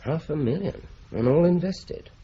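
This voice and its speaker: An actor playing a shrewd, probing police investigator in a radio drama, measured and dry in manner. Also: male voice, fairly high pitch, lively intonation, mellow, breathy edge. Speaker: police detective investigating a murder. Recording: dull lo-fi radio recording.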